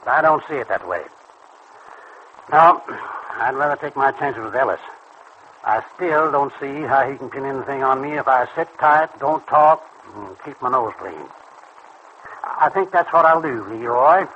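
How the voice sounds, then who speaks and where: An actor in an old radio serial playing a wary, stubborn small-time hoodlum with blunt, uneducated slang. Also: male voice, mid-range voice, normal speed, close to an everyday voice. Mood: stubbornly refusing. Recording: dull old radio recording, faint noise.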